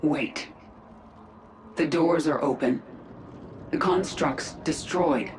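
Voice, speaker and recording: A woman's voice: low voice, takes it slowly, a little mellow, slight background noise. An actress playing a tough, wary female warrior in a game cutscene, speaking bluntly and informally. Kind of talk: dramatic game cutscene acting. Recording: echoing voice over cinematic music.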